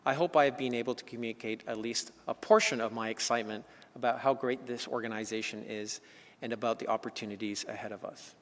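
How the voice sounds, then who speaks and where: A man presenting as an insurance executive, reading measured points and stressing each new item. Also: medium pitch. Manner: measured, enumerating points, rising emphasis on openers. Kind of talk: scripted corporate presentation. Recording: long hall reverb.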